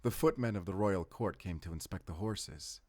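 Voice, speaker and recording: A man's voice: sits low, normal speed, a little mellow, no room sound. A man playing a brooding, guilt-ridden hunter and tender lover in a cinematic romantic audio roleplay, earnest and intimate. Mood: gentle, storytelling.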